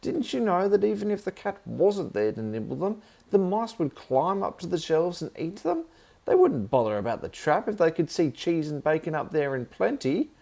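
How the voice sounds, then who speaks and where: A man's voice: a little high, very animated, normal speed, gravelly, a little mellow, pitched above the performer's own voice, exaggerated voice. A man voicing a fussy, easily outraged middle-aged aunt in a children's story, exclaiming and nagging indignantly. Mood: outraged scolding.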